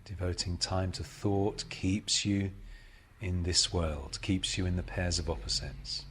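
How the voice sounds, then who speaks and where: Male spiritual teacher, guided meditation, very unhurried pace, deep register, solemn, measured pauses, repetition. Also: steady tone, slight background noise.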